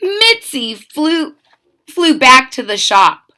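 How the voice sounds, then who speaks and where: Animated, bright-voiced woman reading a storybook. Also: sits high. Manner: dramatic emphasis, drawn-out key words. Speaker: storybook reader. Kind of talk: expressive children's read-aloud with explanatory asides.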